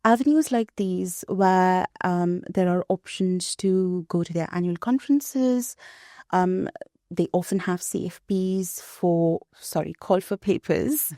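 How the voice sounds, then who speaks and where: A woman from India, a guest researcher, talking thoughtfully in a podcast conversation with many pauses and ums. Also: lowish voice, warm tone, no echo, clean studio sound. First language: not English.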